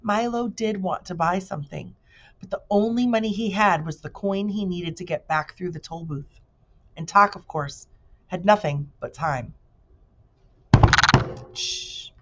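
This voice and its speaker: Woman teacher doing an expressive read-aloud of children's fiction, lowish register, lo-fi recording. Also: moderate pace, a little hiss or hum. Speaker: teacher reading aloud to pupils. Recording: dull lo-fi recording.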